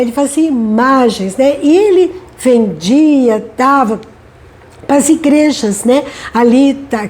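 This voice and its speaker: A middle-aged woman from Brazil giving a gentle devotional talk, unhurried and often adding tag questions. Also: high voice. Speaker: devotional speaker.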